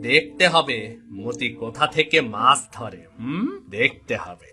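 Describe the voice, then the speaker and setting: A man's voice: mid-range voice, lively intonation, measured pace, bright, forceful tone, soft background music. A man voicing a greedy, envious, suspicious fisherman in a cartoon. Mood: accusing, suspicious. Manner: suspicious, questioning. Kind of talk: Bengali cartoon voice acting.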